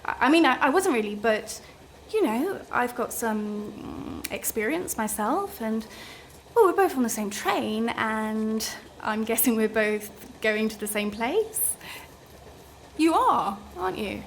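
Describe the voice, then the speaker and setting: High-voiced, flustered woman. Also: lively intonation, slight background noise. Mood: flustered, hesitant. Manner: agitated, halting, self-deprecating. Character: anxious, flustered, wry woman writer on a train. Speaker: actor in a radio play. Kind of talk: naturalistic radio drama dialogue.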